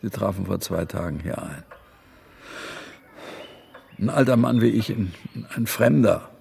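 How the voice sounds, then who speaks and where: An actor in a radio play playing a sorrowful, helpless old man who speaks haltingly and wearily, repeating himself. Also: lowish voice, expressive, a little mellow, slight background noise, full character voice. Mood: sad, pleading. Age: older. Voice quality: creaky.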